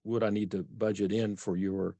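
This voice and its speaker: A man's voice: lowish voice, little pitch movement, moderate pace, warm tone, no room sound. A middle-aged man from Texas with a Texan accent, speaking earnestly as an author guest in a conversational interview, often restarting his sentences. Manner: earnest, self-correcting restarts.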